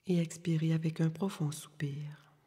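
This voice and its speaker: A woman leading a guided yoga nidra meditation, giving calm, soothing, unhurried instructions with long pauses in a soft, breathy voice. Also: low-pitched. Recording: long reverberant hall-like echo.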